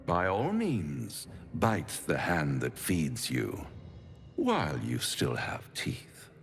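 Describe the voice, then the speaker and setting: A low-voiced, smug devil from a video game, with hugely swooping intonation. He is pompous and silky, savoring every phrase at a slow pace as he tempts and threatens. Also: wildly swooping pitch, creaky, in a large hall, soft background music, heavily acted. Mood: mocking menace. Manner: pompous, silky, teasing, florid delivery. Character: suave, theatrical male devil, smug, menacing tempter. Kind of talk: theatrical video game acting.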